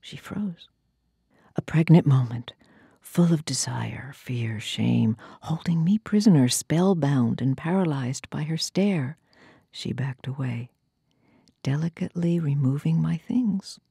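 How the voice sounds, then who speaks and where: A woman performs spoken-word poetry in a deep, dark voice with a husky grain. Her delivery is theatrical and deliberate, with breathy, hushed drops. The sound is close and dry. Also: animated, dry recording. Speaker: poetry reader.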